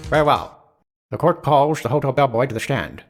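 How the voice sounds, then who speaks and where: Formal courtroom judge over music. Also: male voice, mid-range voice, lively intonation, fairly quick. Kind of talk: video game voice dub.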